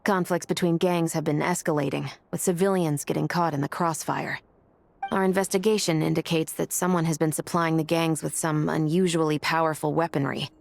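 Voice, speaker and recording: A woman's voice: deep voice, steady tone, a little fast, vocal fry. An actress playing a stern, dutiful female officer in a video game, serious, blunt and businesslike. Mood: grave. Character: stern, dutiful woman law-enforcement officer. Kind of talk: video game character acting.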